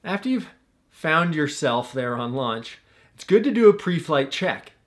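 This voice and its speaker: A man's voice: on the high side, very animated, unhurried. A young man hosting a tutorial vlog, talking straight to the camera in an earnest, coaching way from a small room at home. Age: young adult. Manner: earnest, coaching, gestures with his hands. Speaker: paragliding instructor and channel host.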